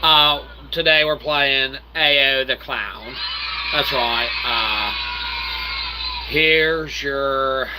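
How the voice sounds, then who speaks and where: A man's voice: medium pitch, extremely slow, heavy background noise. A man hosting a casual, rambling game livestream in a jokey, mock-earnest showman manner. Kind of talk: casual rambling let's-play commentary.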